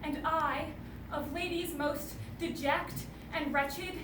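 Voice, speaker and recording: Woman acting a high-voiced, heartbroken young noblewoman. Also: steady tone. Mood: grieving. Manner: slow, lamenting. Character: heartbroken young noblewoman mourning her beloved's madness. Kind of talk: theatrical Shakespeare monologue. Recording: distant mic, echoey, clearly noisy ambience.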